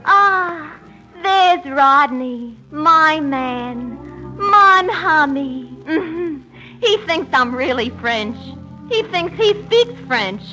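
A woman's voice: sits high, lively intonation, extremely slow, pushed and bright, low-quality recording. A woman doing a wisecracking comedy monologue on old-time radio, breaking into mock-French singing. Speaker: comic singer. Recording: old radio recording with music.

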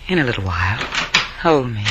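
An actress playing a flirtatious femme fatale, coy and theatrical, in a vintage radio drama. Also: female voice, very deep voice, sing-song, brisk, fairly bright tone, slight background noise, heavily acted, low-quality recording. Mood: breathless, yearning. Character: flirtatious, sultry femme fatale running a lonely hearts club. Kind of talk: 1950s radio drama acting.

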